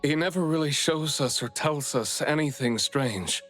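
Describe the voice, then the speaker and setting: A man's voice: fairly high pitch, a little slow, lightly acted. An actor playing a worried, polite father of a missing boy in a video game cutscene, earnest and anxious. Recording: background music under the voice.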